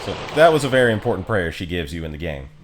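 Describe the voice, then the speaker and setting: A man giving casual live commentary as a game streamer, explaining things in an offhand, halting way. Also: sits low, sing-song, noisy background. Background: game music.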